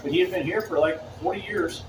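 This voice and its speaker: A man's voice: sits high, fast. A man in casual chat, telling stories in an easygoing, chatty way. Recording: roomy, distant and noisy.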